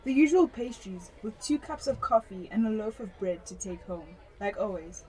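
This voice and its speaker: A woman plays a police detective in a radio drama. She has a mellow, medium-pitched voice and a matter-of-fact, take-charge manner. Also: moderate pace, lightly acted, rough recording. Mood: businesslike. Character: businesslike female police detective.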